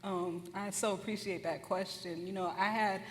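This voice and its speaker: An American woman from Detroit talking informally and emphatically as a panelist, in a conversational, animated way. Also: mid-range voice, normal speed, slight background noise. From Detroit, United States. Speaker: panelist, musician. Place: conference hall. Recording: echoey hall with long reverb.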